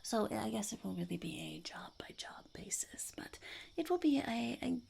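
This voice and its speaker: Woman as a creaky, whispering, scheming goblin. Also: on the high side, big pitch swings, a little mellow, full character voice. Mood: reassuring, wheedling. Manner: halting, speaks of self in third person, mock-formal. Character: scheming, self-important goblin interviewing would-be henchmen. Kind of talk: whispered ASMR roleplay.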